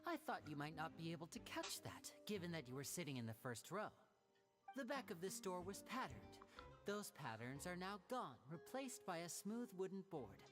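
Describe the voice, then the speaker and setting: Lively, poised boyish stage magician. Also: female voice, fairly low pitch, moderate pace, over music. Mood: explaining, assured. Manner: polished showman explaining theatrically. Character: young male stage magician, poised, showy, courteous. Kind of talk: anime video game voice acting.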